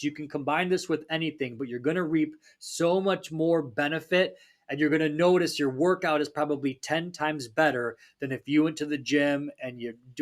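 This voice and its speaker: A man hosting a fitness podcast, talking in a casual, upbeat pep-talk way, his voice a little raspy from a recent cold. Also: mid-range voice. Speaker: fitness coach and podcast host.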